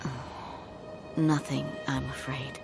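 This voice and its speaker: A woman voicing a calm, compassionate but troubled woman in a game cutscene, soft-spoken, polite and earnest. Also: a bass voice, slow, vocal fry, over music, heavily acted. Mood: apologetic, reserved. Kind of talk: dramatic game cutscene acting.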